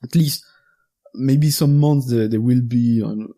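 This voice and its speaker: A man in his thirties with a Belgian French accent, a native French speaker chatting in English as a podcast guest, pausing often to search for words. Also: medium pitch, warm tone. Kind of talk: casual conversational interview.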